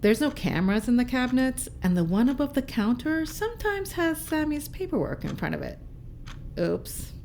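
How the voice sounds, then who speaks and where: A woman's voice: sits high, sing-song, moderate pace, gravelly, darkish tone, a little hiss or hum, exaggerated voice. A woman playing a breezy, teasing and evasive crew member aboard a spaceship in an audio drama. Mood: cagey, sassy.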